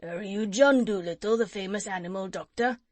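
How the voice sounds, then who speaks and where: A woman voicing a businesslike male shark who offers help briskly and matter-of-factly. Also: mid-range voice, darkish tone, dry recording, natural voice.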